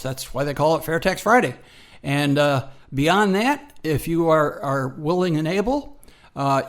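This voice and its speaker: A man co-hosting a radio program in casual promotional chat, folksy and hesitant, repeating himself for emphasis. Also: fairly high pitch.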